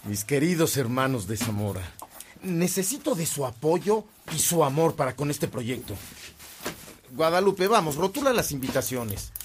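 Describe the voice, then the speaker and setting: A man's voice: a little high, big pitch swings, moderate pace, a little hiss or hum. A male actor playing a devout, earnest and commanding young Mexican priest in a radio drama. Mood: urgent, bossy.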